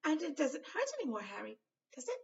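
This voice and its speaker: A woman's voice: high voice, big pitch swings, a little fast, gravelly, distant mic, highs cut off, voice pushed up, heavily acted. A woman voicing an earnest, clever schoolgirl from a storybook, speaking in a pointed way. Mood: defensive. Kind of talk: storybook character reading.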